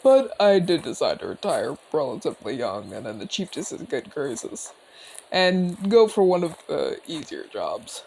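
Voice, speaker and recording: A man performs a friendly old-soldier orc, a former general with a creaky, gravelly edge. His pitch roams widely as he chats in a measured, courteous, inquisitive way. It is intimate ASMR roleplay, addressed straight to the listener. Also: big pitch swings, darkish tone, a little hiss or hum, full character voice, low-quality recording. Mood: wry, reflective. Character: genial retired orc general, male border commander, calm, inquisitive.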